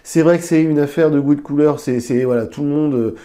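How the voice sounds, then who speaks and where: A man's voice: on the high side, a little fast, warm tone. A man with a stuffy, nasal voice from a cold, hosting a casual tech review into a lapel mic, chatty, with fillers and restarts. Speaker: tech reviewer, channel host.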